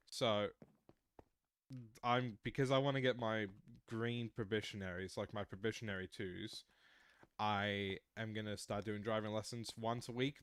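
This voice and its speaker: A man's voice: lowish voice, fairly bright tone. An Australian man in his mid-twenties, chatting casually and rambling through explanations while streaming a game. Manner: rambling, explains at length with restarts. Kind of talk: casual livestream chat while gaming.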